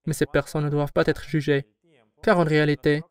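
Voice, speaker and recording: A man answering interview questions in a steady, assured and explanatory way, read as a voice-over on a close studio microphone. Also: on the high side, a little fast, clear, resonant tone. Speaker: company founder being interviewed.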